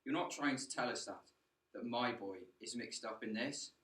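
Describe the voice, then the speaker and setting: A man's voice: mid-range voice, normal speed, a bit bright, lightly acted. A teenage boy playing a blustering middle-aged father in a school radio play, agitated and commanding. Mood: alarmed. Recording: echoey room.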